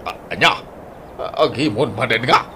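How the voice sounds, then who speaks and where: A man's voice: mid-range voice, animated, moderate pace, noisy background, natural voice. A man playing a skeptical, scolding father in a comedic radio drama, blunt in manner, with a Filipino accent. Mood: questioning.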